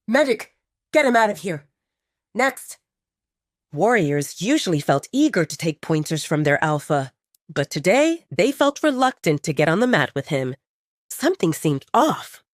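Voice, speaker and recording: Expressive woman narrating with lively pitch. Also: no room sound, studio quality. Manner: expressive, dramatic storytelling. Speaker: audiobook narrator. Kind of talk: dramatic scripted narration of a romance story.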